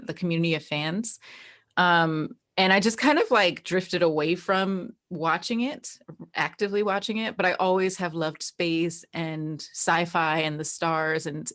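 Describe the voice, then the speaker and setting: A woman's voice: lowish voice, a bit bright. An American woman, a guest in a casual interview, telling personal stories in a very informal, emphatic way. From New Jersey, United States. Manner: informal, peppered with "like" and emphatic repeats. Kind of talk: casual chat, personal storytelling.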